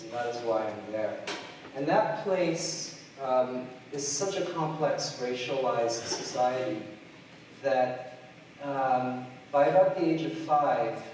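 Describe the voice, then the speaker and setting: A middle-aged man from Trinidad and Tobago giving a conference keynote, halting often with fillers and restarts. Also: medium pitch, background noise. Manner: hesitant, many fillers and restarts. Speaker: keynote speaker. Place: large lecture hall. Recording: distant mic in an echoey hall.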